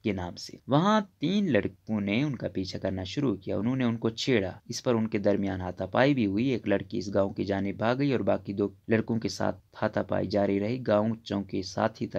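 A man reading a scripted news report as a voice-over in a formal, measured way, with an Urdu accent. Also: on the low side, creaky, darkish tone, muffled recording. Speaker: news narrator.

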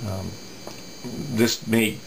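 A middle-aged man giving a casual hands-on technical explanation, thinking aloud haltingly with folksy asides. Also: lowish voice, steady tone, vocal fry, noisy background. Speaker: radio repair hobbyist presenting. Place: indoor electronics workbench.

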